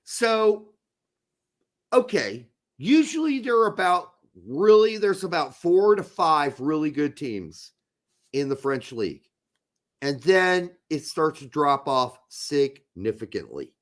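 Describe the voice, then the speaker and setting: A man with a hoarse voice hosting a casual live soccer talk show, emphatic and swearing when fired up. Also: on the high side, big pitch swings, slow. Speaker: podcast host.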